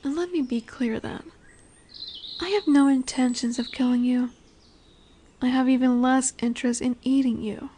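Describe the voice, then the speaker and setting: A woman voicing a dominant lamia in a roleplay audio, curious and condescending yet nurturing, speaking deliberately with teasing, soothing near-whispers. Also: high-pitched, fairly even intonation, warm tone, slight background noise, close to an everyday voice. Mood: commanding, enticing. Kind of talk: slow roleplay audio monologue addressed to the listener. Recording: birdsong and woodland ambience behind. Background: birdsong, forest ambience.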